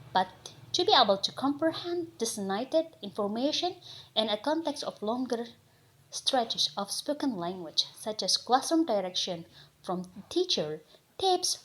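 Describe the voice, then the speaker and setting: A woman's voice: a little high, rough recording. A young woman with an Indonesian accent, presenting a lesson from slides, reading in short, careful phrases. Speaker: student presenter.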